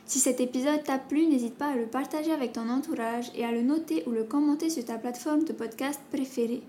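A woman's voice: high-pitched, a little fast, dark tone, slight background noise. A woman hosting a podcast, thanking guests and reading a composed outro. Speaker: podcast host.

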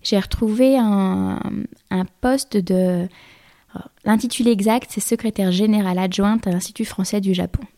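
A woman's voice: medium pitch, steady tone, normal speed, mellow. A woman in her thirties, a guest in an interview, telling her story in a hesitant way with many fillers and restarts. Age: 30s. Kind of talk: conversational interview.

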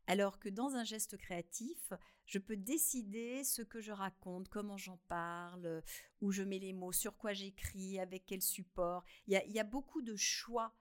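Woman, interview guest; animated, laughing, halting with repeated words. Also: medium pitch, smooth. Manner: expressive, laughing, loud bursts of emphasis; talks with many hesitations and repeated words. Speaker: guest author. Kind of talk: radio interview conversation.

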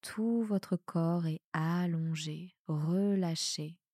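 A woman with a soft voice guiding a relaxation meditation in a calm, soothing manner with measured pauses. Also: fairly low pitch, breathy edge, dry recording, clean studio sound. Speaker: yoga nidra guide. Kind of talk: guided meditation, relaxation instruction.

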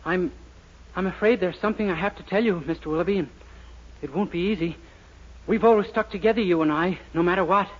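A man's voice: high-pitched, fast. An actor playing a naive, guileless and slightly dim young man in a 1940s radio sitcom. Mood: solemn, naive. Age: young adult. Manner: earnest and guileless. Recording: muffled, noisy old radio broadcast.

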